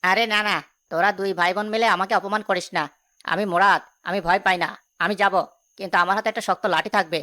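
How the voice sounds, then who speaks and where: A woman's voice: medium pitch, fairly even intonation, quick pace, bright, forceful tone, natural voice. A woman voicing a timid village strongman who boasts of courage in a cartoon story, fretful and blustering. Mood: indignant.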